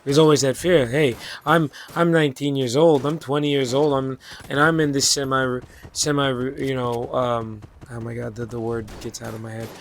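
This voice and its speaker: A man in his twenties, hoarse and raspy from losing his voice, talking through a rambling late-night monologue as a channel host, halting with fillers and casual swearing. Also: mid-range voice, little pitch movement, slight background noise. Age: 20s.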